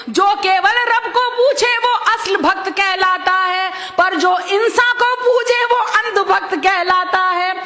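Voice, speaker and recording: Woman, high-pitched, slow deliberate delivery, emphatic poetry recitation, repeated couplets, stage microphone. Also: a bit bright. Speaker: poet reciting at a mushaira. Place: stage at a public gathering with podium. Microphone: stand microphone on podium.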